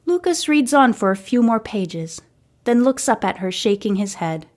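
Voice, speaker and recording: A woman's voice: a little high, animated, normal speed. A woman reading a scripted story aloud as the narrator and host of a fiction podcast.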